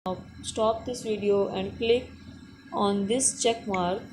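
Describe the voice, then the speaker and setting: Woman, tutorial host, mid-pitched voice, instructional delivery, simple non-native phrasing, faint background noise. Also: fairly even intonation, slow pace. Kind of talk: step-by-step app tutorial narration.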